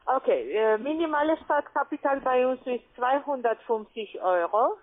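A woman with a fairly high voice speaks as a non-native telephone sales agent. Her pitch is formal and halting, heard through a narrow, tinny phone line. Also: lively intonation. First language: not German. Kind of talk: sales pitch on a cold call.

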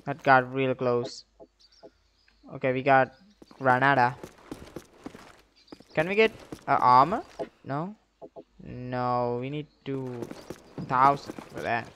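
A young man giving casual gameplay commentary in an excitable, slangy, profane way, speaking into a headset. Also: medium pitch, slow pace, long pauses. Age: young adult. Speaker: gamer, YouTube host. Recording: clear game gunfire noise behind voice. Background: shooter game gunfire and clicks.

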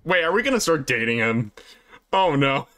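A man's voice: fairly high pitch, very animated, moderate pace, a bit bright. A man hosting a gaming video, chatting casually and swearing freely as he reacts. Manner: informal, sweary. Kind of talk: casual playthrough reaction chat with friends.

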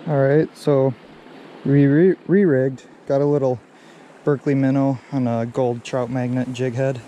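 A man's voice: mid-range voice, measured pace, muffled recording. A young man narrating casually to viewers while fishing outdoors, recorded on a head-mounted camera. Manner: relaxed, talks to viewers as he fishes. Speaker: fishing vlogger. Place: outdoors by a creek and pond. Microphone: head-mounted action camera. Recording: clear water and outdoor noise behind. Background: running stream water.

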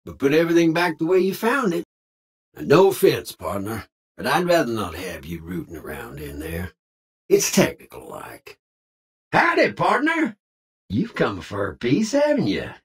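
An actor playing a folksy, friendly cowboy robot in a video game, with an American Western drawl and theatrical Old West slang. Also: male voice, fairly high pitch, full character voice. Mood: puzzled, apologetic. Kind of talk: video game character acting.

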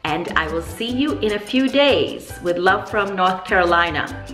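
A woman's voice: mid-range voice, expressive, measured pace, a bit bright. A middle-aged woman hosting a casual home vlog, playfully stretching and repeating words for emphasis. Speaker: family vlogger, host. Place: home dining room and kitchen. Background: music.

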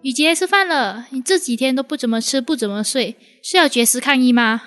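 A woman's voice: high voice, fairly quick, a bit bright, soft background music. A woman acting an outspoken, protective young friend in a radio drama. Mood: scolding.

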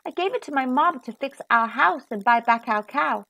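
Woman as a young storybook boy, polite, timid, earnest, high-pitched, lively intonation. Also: moderate pace, vocal fry, no room sound, heavily acted. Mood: earnest, curious. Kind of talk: children's storybook character voice.

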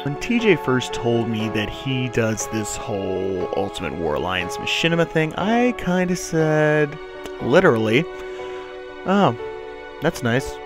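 A man's voice: medium pitch, big pitch swings, a little slow. A young man, a voice actor, telling rambling casual anecdotes in an interview. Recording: music bed underneath.

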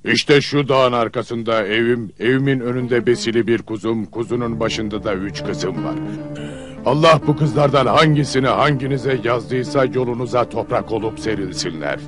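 A man playing a sly man-eating giant father who feigns friendliness in an old cartoon, with theatrical, drawn-out folksy delivery. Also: mid-range voice, over music, close to an everyday voice. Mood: generous, coaxing. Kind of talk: old cartoon fairy-tale dubbing.

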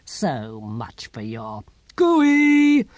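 A man's voice: high voice, big pitch swings, moderate pace, mellow, dry recording, pitched above the performer's own voice. A man voicing a blunt, wry old philosopher in an audiobook story, declaiming theatrically with dry humour. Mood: defiant shouting.

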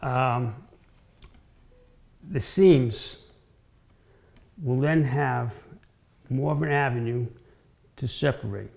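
A man in his sixties with a noticeably gravelly, creaky voice at an average pitch. He explains in an informal, demonstrative way, circling back and restarting phrases as he goes. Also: very slow, long pauses, a little mellow. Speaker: guest woodworker explaining cutting boards. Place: home-style kitchen, indoors.

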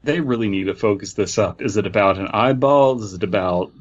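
A man's voice: fairly low pitch, a little fast, muffled recording. A man hosting a comedy podcast, reading aloud and chatting with a mocking, joking manner. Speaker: podcast host. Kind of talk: comedic read-aloud with riffing commentary.

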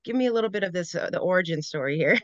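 A woman's voice: fairly low pitch, animated, rapid-fire, dry recording. A young woman chatting casually as an interviewer over a video call. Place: room at home with shelves, indoors. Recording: dull, muffled video call audio.

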